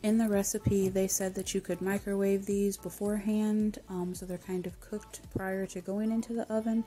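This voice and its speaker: A woman's voice: mid-range voice, flat delivery, normal speed, mellow, faint music behind. A woman hosting a home cooking channel, giving chatty, encouraging step-by-step recipe voice-over.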